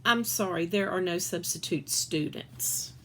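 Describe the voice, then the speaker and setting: A woman reads as a storybook school principal. She is patient and measured, answering a child thoughtfully. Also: lowish voice, slight background noise. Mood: matter-of-fact.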